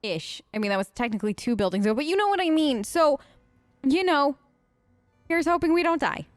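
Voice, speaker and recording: Female let's play host, high register, dry close mic, casual and playful, expressive commentary to herself and the game's characters. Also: fairly quick, dry recording, soft background music. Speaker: gaming video host. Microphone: close dry voice-over microphone.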